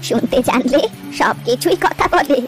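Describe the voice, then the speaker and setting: High-voiced wistful old village grandmother acted by a woman. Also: normal speed, soft background music, natural voice. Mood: tender, reflective. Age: older. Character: wistful old village grandmother, gentle storyteller of river lore. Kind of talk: cartoon story dialogue.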